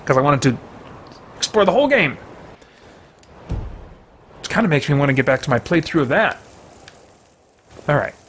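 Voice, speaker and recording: A man in his thirties does casual gameplay commentary. His fairly high voice moves up and down a lot, with some background noise under it. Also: big pitch swings, moderate pace, pauses often, a bit bright. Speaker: host of a gaming let's play. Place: indoor room with bookshelves.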